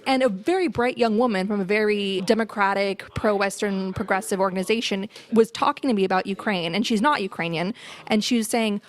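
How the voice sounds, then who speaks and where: A woman's voice: mid-range voice, a little fast. Emphatic woman podcast host. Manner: passionate, emphatic, talks in long run-on explanations. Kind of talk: political podcast conversation.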